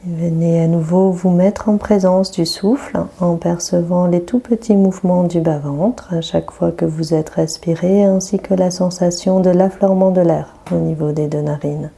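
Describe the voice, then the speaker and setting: A woman guiding a yoga nidra meditation with a soft, breathy voice, giving calm, gentle instructions with long pauses. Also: fairly low pitch. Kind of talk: guided relaxation meditation.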